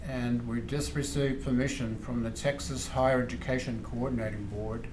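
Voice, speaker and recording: A man's voice: medium pitch, steady tone, normal speed. Middle-aged Australian man, echoey noisy room. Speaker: visiting professor, seminar speaker. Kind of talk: informal academic seminar talk. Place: indoor university seminar room. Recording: echoey lecture room, clear background noise.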